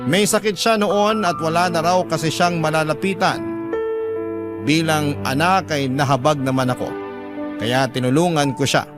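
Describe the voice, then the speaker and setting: A man's voice: a little high, normal speed. A man with a Filipino accent reading a listener's letter as dramatic scripted narration, as a radio storyteller. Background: background music.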